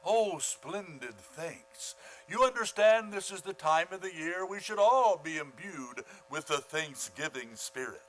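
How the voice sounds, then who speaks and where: A man playing a fussy, falsely gracious school principal with a pompous, formal, halting delivery in a radio comedy. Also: on the high side, lively intonation, fairly bright tone, faint music behind, rough recording. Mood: unctuous, martyred. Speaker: actor in a community radio play. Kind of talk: old-time radio comedy acting.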